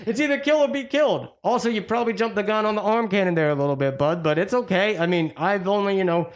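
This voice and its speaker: A somewhat high-voiced male radio host , acted by a man holds forth with pompous, mock-serious gravity. He is wordy and stumbles a little, in the loose style of improvised radio comedy. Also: fast talker, lightly acted. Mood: resolute.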